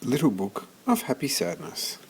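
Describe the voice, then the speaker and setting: A man reading a children's picture book aloud as scripted narration, expressively and with deliberate pauses. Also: a little high, very animated, creaky, a little mellow, slight background noise, poor audio. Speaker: storybook reader.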